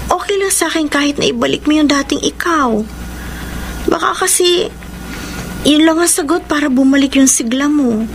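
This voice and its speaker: A woman's voice: sits high, normal speed, long pauses, slight background noise. A woman acting an earnest, worried young girlfriend in a radio drama, sincere and emotive as she mixes Tagalog and English. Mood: tender, concerned. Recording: radio drama with music underneath. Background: dramatic background music.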